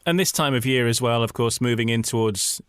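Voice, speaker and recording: A man's voice: mid-range voice, fairly quick, fairly bright tone, smooth, dry recording, clean studio sound. A man hosting a conversational radio interview, speaking politely and encouragingly to his guest.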